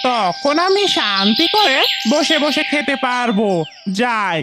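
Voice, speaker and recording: A woman voicing a sly, ambitious fox in an animated fable, persuasive and boastful about itself. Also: fairly high pitch, slow pace, music in the background. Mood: scheming. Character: sly, ambitious, self-important fox who wants to be king.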